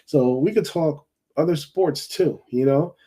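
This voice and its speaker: A man's voice: fairly high pitch, a little slow, dark tone, breathy edge. A man from Jamaica hosting a fan call-in football show, talking in a chatty, rambling way and often repeating himself. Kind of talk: casual football chat.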